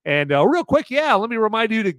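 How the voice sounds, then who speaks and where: A man's voice: high voice, very animated, fairly quick, no echo. Rambling male movie reviewer, dry close mic. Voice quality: loud voice that carries. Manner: rambling, filler-heavy, with frequent restarts. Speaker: podcast host reviewing a movie. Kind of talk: casual live-stream movie review.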